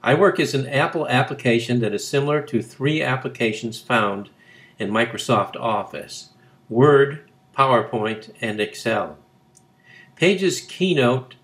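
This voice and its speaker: A man narrating scripted instructions as a tutorial, reading in measured phrases. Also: medium pitch.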